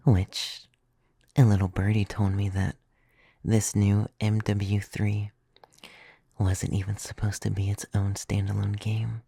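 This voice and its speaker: Guy doing a flirty vampire femboy asmr, mellow gravelly soft voice, whispery, super dry and close. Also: fairly low pitch, monotone, slow, no echo, exaggerated voice. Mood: wry, complaining. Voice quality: soft, breathy, often dropping to a whisper. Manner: intimate, teasing, reassuring. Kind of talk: ASMR roleplay. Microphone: close microphone, dry.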